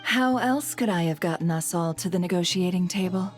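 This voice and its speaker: An actress playing a poised, cryptic guild head in a video game, speaking formally and with measured courtesy. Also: female voice, fairly low pitch, soft background music, close to an everyday voice. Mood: composed. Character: poised, cryptic woman heading a secretive trade guild. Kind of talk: video game character acting.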